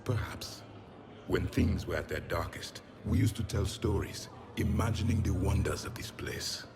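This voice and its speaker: A man's voice: low voice, moderate pace, music in the background. A man voicing a proud ancient alien warrior in a video game, solemn and imperious. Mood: solemn, wistful.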